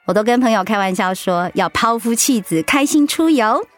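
A woman's voice: fairly high pitch, lively intonation, normal speed, soft background music, close to an everyday voice. An actress playing an outgoing, candid middle-aged woman in a conversational radio drama. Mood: friendly, cheerful. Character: warm, outgoing middle-aged woman, breast cancer survivor.

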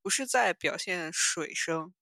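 Female podcast host, bright clear-cut tone, conversational and musing, short phrases, recorded dry. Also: fairly low pitch, lively intonation, measured pace, no room sound. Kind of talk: casual chat reviewing audio dramas.

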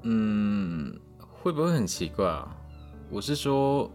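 Man acting a young male dorm mate, low-pitched, blunt, awkward, music behind. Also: big pitch swings, slow, a little mellow, heavily acted. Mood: troubled, hesitant. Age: young adult. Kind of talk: radio drama acting.

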